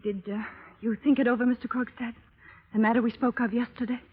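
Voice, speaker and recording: A nervous young wife in an old radio play. Her voice is high and husky, earnest and refined. The sound is narrow and tinny, like a 1930s broadcast. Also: level tone, fairly quick. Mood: nervous, polite. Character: anxious, earnest young wife seeking a secret loan. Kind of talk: 1930s radio drama acting. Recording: thin, band-limited 1930s radio recording.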